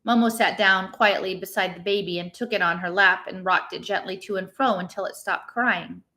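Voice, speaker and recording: A woman reading a novel aloud in expressive storybook narration. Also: medium pitch, normal speed.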